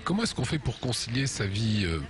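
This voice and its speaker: A man hosting a TV interview, introducing his guest and asking brisk, probing questions. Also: mid-range voice, sing-song.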